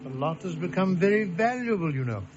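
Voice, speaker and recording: Formal banker, slightly high voice. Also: male voice, lively intonation, brisk. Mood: persuasive. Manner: formal, polite, matter-of-fact. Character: formal, persistent bank official. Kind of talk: suspense radio drama acting. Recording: muffled radio recording, music behind.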